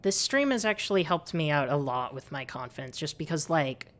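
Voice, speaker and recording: Low androgynous woman streamer. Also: animated, brisk. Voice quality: slightly raspy. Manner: soothing, chatty, thanks viewers often. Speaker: livestreamer and lore host. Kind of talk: casual livestream chat while gaming.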